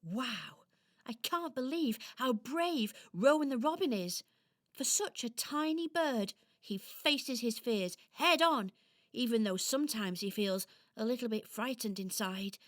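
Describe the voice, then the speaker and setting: A woman's voice: a little high, expressive, unhurried, no room sound, studio quality. A woman playing a timid, earnest young female hero who is trying to be brave, hesitant and wondering, in a children's radio play. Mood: determined.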